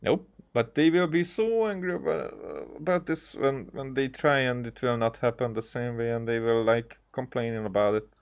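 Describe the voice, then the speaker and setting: A man giving casual, unscripted game commentary in a halting, rambling way, circling back over his words. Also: mid-range voice. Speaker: gaming streamer playing and commenting on a game.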